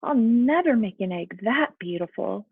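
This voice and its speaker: A woman's voice: on the high side, expressive, a little slow, creaky, slightly rough, no room sound, exaggerated voice. A woman voicing an eager, wide-eyed young male bunny from a storybook, on a muffled recording. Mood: wistful, polite. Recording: muffled, phone-like narrow-band sound.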